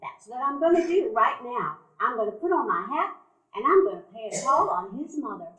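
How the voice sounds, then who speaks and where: A woman voices a kind, firm caretaker who coaxes and comforts a sick boy in a live radio play. Her voice sits a little high and moves with animated inflection. The live theater adds a roomy echo. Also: brisk. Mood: gentle. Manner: gentle, coaxing, firm. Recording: echoey live theater room.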